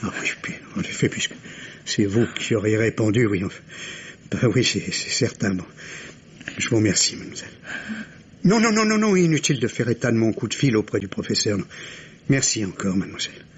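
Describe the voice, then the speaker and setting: An actor playing an anxious middle-aged professor and worried father in an old radio mystery play, halting and repeating his words. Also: fairly low pitch, big pitch swings, heavily acted. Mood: tense, urgent. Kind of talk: old French radio drama acting. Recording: dull lo-fi vintage radio, clear hiss.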